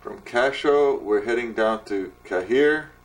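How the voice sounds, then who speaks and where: Slow, wry man narrating travels. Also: mid-range voice, rough recording. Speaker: travel vlogger.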